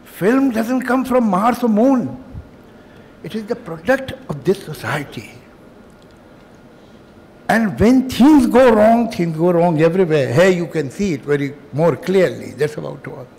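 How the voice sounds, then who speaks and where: An older man whose first language is Urdu speaks at a festival in a hotel hall, and the sound rings with a long reverb. His voice sits high and swings widely in pitch. He talks reflectively and with emphasis, pausing often and adding dry humor. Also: animated, darkish tone. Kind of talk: public speech.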